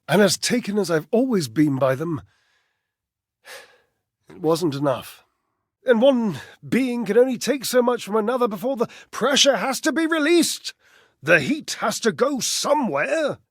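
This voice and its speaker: High-pitched, flamboyant, vain clothes dryer. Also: male voice, no room sound, studio quality. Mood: wistful, defensive. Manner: dramatic, breathy sighs and flustered stammering. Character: vain, flamboyant, sensitive male clothes dryer, a stage performer. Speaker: voice actor. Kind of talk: theatrical video game character acting.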